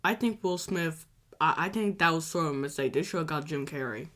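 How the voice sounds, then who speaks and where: A man's voice: a little high, fairly quick, sharp tone. A man co-hosting a casual, bantering chat about films, insistent and contrarian in a joking way. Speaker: show co-host.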